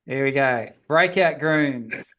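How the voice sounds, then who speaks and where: A man's voice: mid-range voice, takes it slowly, a bit bright, poor audio. A man facilitating an online group session, informally giving instructions in a friendly, direct way and repeating himself as he thinks. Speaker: meet-up facilitator. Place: online video meeting.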